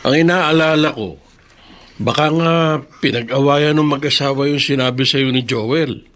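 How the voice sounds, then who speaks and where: A man's voice: medium pitch, moderate pace, fairly bright tone, lightly acted. An actor with a Filipino accent playing a concerned middle-aged father in a radio drama.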